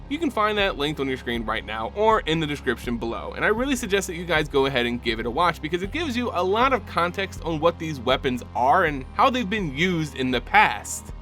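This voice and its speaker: A man with a bright, ringing voice narrates a fantasy tale with a dramatic storyteller's delivery, his pitch rising and falling widely. He speaks to his viewers as an easygoing channel host, with faint music playing underneath. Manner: addresses viewers casually as "guys". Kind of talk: dramatic lore narration and recap.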